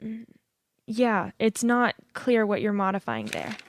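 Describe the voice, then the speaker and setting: A young woman acting in an audio drama as a politician's sharp-witted grown daughter and exacting editor, dry and matter-of-fact. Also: mid-range voice, big pitch swings, unhurried, no echo. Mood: firm, critical.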